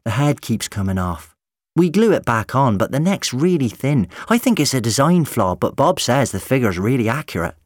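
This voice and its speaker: A man's voice: medium pitch, sing-song, moderate pace, no echo, studio quality, voice pushed up. A man voicing an eager, chatty young boy who is earnest about his toys, in an audiobook story. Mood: glum. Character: eager, bright young boy, a robot-toy enthusiast.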